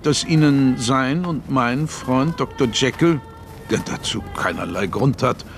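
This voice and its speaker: An actor playing a genial, worldly older London lawyer with an urbane, measured, wry manner in a radio play. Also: male voice, mid-range voice, lively intonation, soft background music. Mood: wry, avuncular. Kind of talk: German radio play dialogue.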